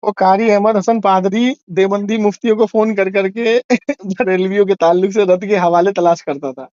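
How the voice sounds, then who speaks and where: A man in his forties with a distinctly high voice speaks as a religious scholar on a phone call. The sound is dry, with no room around it. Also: fairly even intonation, normal speed, darkish tone, clean tone, no room sound. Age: 40s. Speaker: religious scholar taking calls from the public. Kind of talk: phone conversation, religious commentary.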